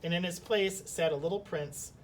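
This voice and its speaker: A man speaking as a teacher, reading a children's story aloud and discussing it. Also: moderate pace. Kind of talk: storybook narration and lesson discussion. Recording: slightly distant mic, faint rumbling noise.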